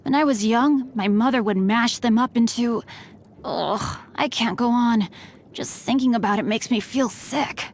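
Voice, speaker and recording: A woman's voice: a little high, normal speed, a little hiss or hum, natural voice. A woman voicing a courteous, bookish teenage boy martial artist in a video game, with refined, eloquent and formal diction. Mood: candid, uneasy. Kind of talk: video game character voice lines. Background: music.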